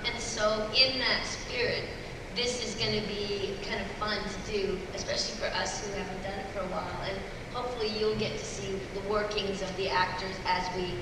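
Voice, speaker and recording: A woman talks casually on stage as a voice actor introducing a live panel. Her voice is distant, with long reverb and clear background noise. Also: medium pitch. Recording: echoey noisy hall, far from mic.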